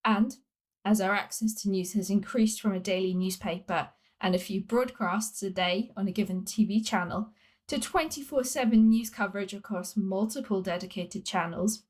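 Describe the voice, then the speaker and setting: A woman's voice: medium pitch, darkish tone. Woman from Wales reading non-fiction. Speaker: author reading own book. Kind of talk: scripted non-fiction audiobook-style reading.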